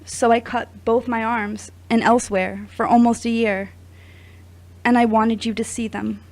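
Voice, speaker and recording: A woman's voice: a little high, flat delivery, measured pace, darkish tone. A woman playing a wounded, confessional young woman in her twenties in an audio drama, speaking in an earnest, confiding way. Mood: vulnerable, pleading. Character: wounded, confessional twenty-four-year-old woman, recovering addict. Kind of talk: scripted audio drama acting.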